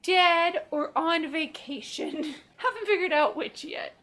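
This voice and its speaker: A woman's voice: very high-pitched, slow, pushed and bright, a little hiss or hum. A woman hosting a gaming video with casual, joking, sarcastic commentary. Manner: sarcastic, wry.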